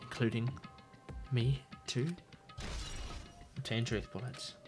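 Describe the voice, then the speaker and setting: A woman's voice: extremely low pitch, steady tone, slow pace, over loud music. A woman streamer reading a video game's text aloud in a subdued way, with casual asides. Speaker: let's-play streamer.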